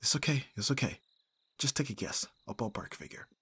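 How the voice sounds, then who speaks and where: A man's voice: medium pitch, expressive, a little fast, a bit bright, slightly rough, no room sound. A man playing an abrasive but caring bodyguard in an audio roleplay, speaking with exasperated urgency and sighs. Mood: focused urgency. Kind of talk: ASMR audio roleplay acting.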